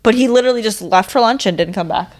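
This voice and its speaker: A young woman co-hosting a podcast in casual chat, telling anecdotes in an animated way and acting out the dialogue. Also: medium pitch, fast. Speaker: podcast co-host.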